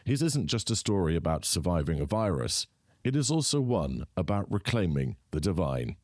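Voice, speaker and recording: A man hosting a podcast, reading a scripted introduction and then asking measured interview questions. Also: low voice, very animated, a little mellow, no echo, clean studio sound. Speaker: podcast host.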